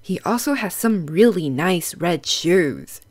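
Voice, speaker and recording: Female children's read-aloud narrator, unhurried and animated, simple teaching phrases, questions to young listeners. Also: on the low side.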